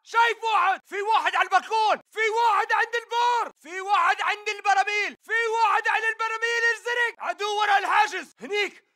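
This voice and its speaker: An actor playing an aggressive male militant fighter in a video game, shouting urgent short combat callouts in Levantine Arabic. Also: extremely high pitch, fast. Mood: urgent, alert. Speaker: enemy soldier voice.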